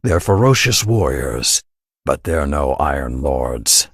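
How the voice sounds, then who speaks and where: Deep, husky warrior lord. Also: male voice, big pitch swings, no room sound, studio quality, full character voice. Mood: stirring, proud. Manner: measured, stately, encouraging. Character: solemn, proud warrior lord announcing combat matches. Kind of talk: video game voice acting.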